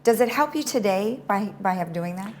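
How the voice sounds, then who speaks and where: A woman's voice: medium pitch, expressive, moderate pace, a little hiss or hum. A woman hosting an interview, encouraging her guest with frequent short affirmations. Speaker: interviewer host. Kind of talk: interview chat.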